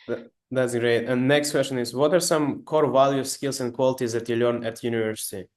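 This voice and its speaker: A man's voice: mid-range voice, steady tone. Polite man asking short questions. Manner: brief, polite questions with quick thanks. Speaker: interviewer.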